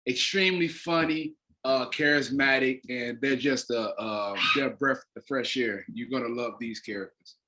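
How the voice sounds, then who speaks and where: A man's voice: medium pitch, normal speed, few pauses, bright, forceful tone. American man in a casual promotional interview. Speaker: playwright and stage director, interview guest.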